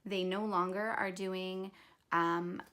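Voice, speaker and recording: A woman's voice: lowish voice, little pitch movement, takes it slowly, a bit bright, clean tone. A woman hosting a craft video, casually narrating an unboxing in a voice still recovering from a cold.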